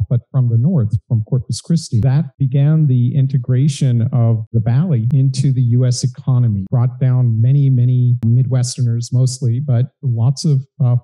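A man's voice: medium pitch, warm tone, low-quality recording. A middle-aged man from south Texas, an academic explaining history as a panelist on a stage. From south Texas, United States. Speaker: English professor, panelist. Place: college event room, seated on stage. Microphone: handheld microphone.

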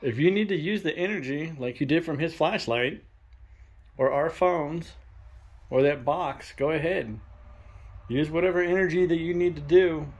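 A young man filming a ghost-hunting vlog in a dark abandoned farmhouse, talking to spirits and loudly challenging them. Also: a little high, moderate pace, slightly rough, lo-fi sound. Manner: loud provoking challenges to the spirits. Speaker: paranormal investigator.

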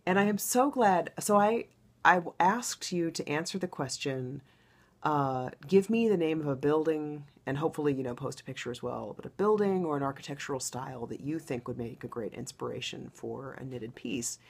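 A middle-aged woman hosting her own podcast, chatting in a rambling way with a low, husky voice from a recent loud wedding, recorded at home. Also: animated, moderate pace. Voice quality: low baritone voice. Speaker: podcast host. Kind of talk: casual podcast monologue.

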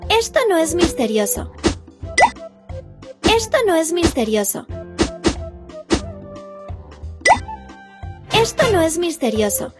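A woman's voice: very high-pitched, very animated, measured pace, full character voice. A woman voicing a cheerful little girl hippo in a children's cartoon, playful and instructive in manner. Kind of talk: children's interactive cartoon dub. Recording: loud music behind. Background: music.

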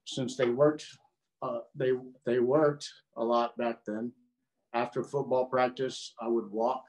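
A man's voice: medium pitch, rough recording. A man in his forties from Houston, a native Vietnamese speaker, earnestly telling his life story in an oral history interview over a video call from home. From Houston, Texas. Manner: earnest, with frequent "you know" fillers. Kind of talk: reflective personal storytelling. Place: home room, indoors.

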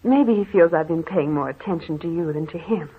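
An actress playing an anxious wife in an old-time radio mystery drama, with earnest, formal diction. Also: female voice, lowish voice, fairly quick, narrow, tinny audio, a little hiss or hum, lightly acted. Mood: uneasy.

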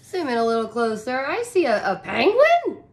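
A woman in her thirties reads a picture book aloud to young children and comments on it in simple, expressive, wonder-filled phrases. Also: high voice, normal speed. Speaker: teacher reading aloud to children. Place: small indoor room, curtained corner.

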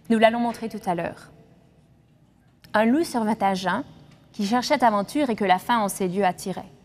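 A woman from Germany in her thirties, co-hosting a studio talk show, reciting a fable and chatting with many fillers. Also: medium pitch. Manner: animated, talkative, many fillers. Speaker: co-host.

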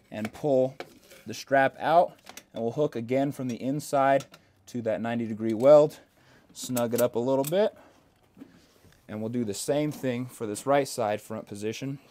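A young man walks through a hands-on equipment demonstration, speaking at a middle pitch in a steady, practical way with the odd "um" and "uh." He talks as he works inside a small parked bus, close to a small mic. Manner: steady step-by-step instruction with fillers.

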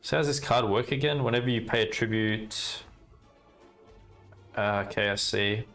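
A young Australian man casually commenting on his card game and chatting with viewers, relaxed and talkative. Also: lowish voice, moderate pace, faint music behind. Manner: relaxed, chatty, talks to chat while playing. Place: indoor room at a desk.

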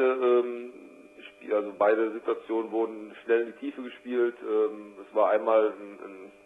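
A man giving a spontaneous sports interview over the telephone as a football coach, measured and matter-of-fact with hesitations. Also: lowish voice, creaky. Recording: tinny, band-limited telephone line.

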